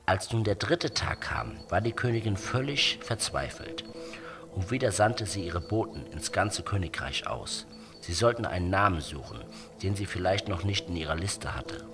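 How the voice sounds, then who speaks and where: A man with a low voice tells a children's fairy tale. His scripted narration is dramatic and very animated in pitch, with clear music behind it. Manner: dramatic, expressive. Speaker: storyteller.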